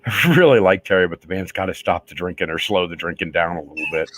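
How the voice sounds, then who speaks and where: A man's voice: deep voice, fast, a loud crowd. A man hosting a livestream, chatting casually in a rambling, easygoing way and often breaking off mid-sentence. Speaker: livestream host. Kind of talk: casual group chat.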